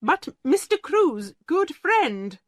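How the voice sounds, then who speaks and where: A woman's voice: high voice, sing-song, takes it slowly, dry recording, studio quality, voice pushed up, full character voice. A woman voicing a haughty, greedy headmistress who speaks shrilly, indignant and cold. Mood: shocked.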